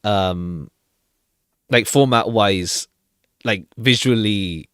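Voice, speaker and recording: A man's voice: on the low side, very slow, fairly bright tone, clear, resonant tone, no room sound, clean studio sound. A man streaming a game with casual commentary, rambling hesitantly and repeating phrases as he goes. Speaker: live streamer. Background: faint jazzy game music.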